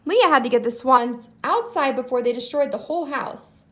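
A woman reading a children's storybook aloud as narrator and host, in an animated style. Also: sits high, moderate pace. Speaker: storybook reader, channel host.

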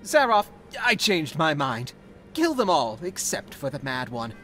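An actor playing an arrogant, hate-filled young noble mage in a fantasy game, haughty and sneering. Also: male voice, fairly high pitch, very animated, a little slow, sharp tone, soft background music, full character voice. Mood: sneering menace. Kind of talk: dramatic fantasy game voice acting.

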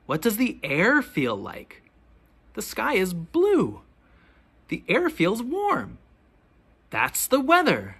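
A teacher reading a children's picture book aloud, with expressive, deliberate questions pitched to young children. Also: slightly rough. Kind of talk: children's storybook read-aloud.

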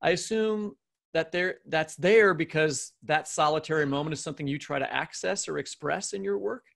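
Guy podcast host, kinda high creaky voice, chatty interviewing. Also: normal speed, a bit bright. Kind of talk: conversational podcast hosting and interviewing.

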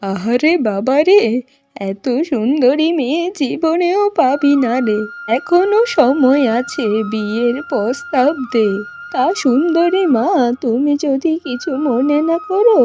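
A woman voicing a fretful, pleading older mother-in-law from a village in a cartoon, with plaintive, drawn-out exclamations. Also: high-pitched, animated, dark tone, lo-fi sound, faint music behind. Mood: gushing, coaxing. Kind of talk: cartoon voice acting.

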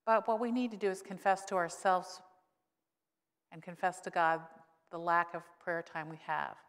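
A woman's voice: steady tone, normal speed, fairly bright tone. A woman in her sixties, a pastor, preaches a conversational sermon with wry humor from a church pulpit microphone. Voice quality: voice grown lower since illness, she says. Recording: long reverb of a church sanctuary.

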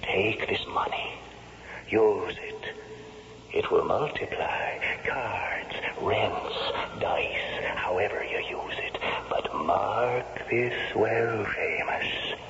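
Low, ominous ghost. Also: male voice, heavily acted. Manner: measured, ominous. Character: ghost of murdered man, the devil's solemn messenger. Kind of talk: 1970s radio drama acting. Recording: muffled vintage radio with clear noise.

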